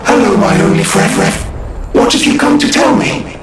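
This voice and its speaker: A man playing a lonely, gentle puppet outcast who longs to feel, speaking haltingly and wistfully in a video game. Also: high voice, sing-song, background noise. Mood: hopeful. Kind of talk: video game cutscene acting. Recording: distant, heavily echoing, under music.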